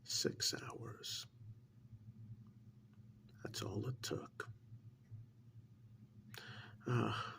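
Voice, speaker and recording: A man playing a sly, insinuating interrogator in an ASMR role-play, speaking in a slow, deliberate, soft whisper with mock admiration and dramatic pauses. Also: fairly low pitch, heavily acted. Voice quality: breathy whisper with gravelly creak. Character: sly, insinuating male interrogator needling a mad scientist.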